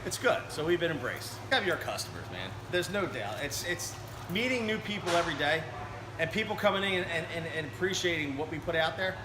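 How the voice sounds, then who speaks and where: A man's voice: a little high, big pitch swings, brisk. A man who co-owns a small brewery, talking casually in an interview and telling his story with restarts. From Berlin. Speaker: brewery co-owner. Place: brewery taproom. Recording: echoey room with noise.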